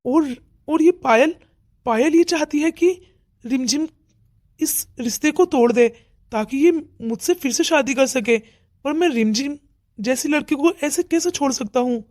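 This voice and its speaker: A woman's voice: high voice, a little slow, a little mellow, dry recording, clean studio sound. A woman voicing an anxious, regretful young husband, brooding to himself in a cartoon melodrama. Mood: worried, determined. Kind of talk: melodramatic cartoon story acting.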